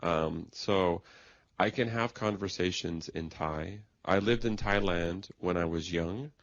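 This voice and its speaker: A man speaking slowly in a simple, carefully worded conversation made for English learners. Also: deep voice, no echo, muffled recording. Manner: plain, careful wording. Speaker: conversation partner in English lesson.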